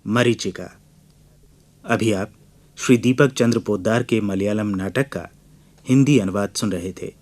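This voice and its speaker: A man working as a radio announcer, reading scripted credits in a formal, measured manner. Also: fairly low pitch, darkish tone.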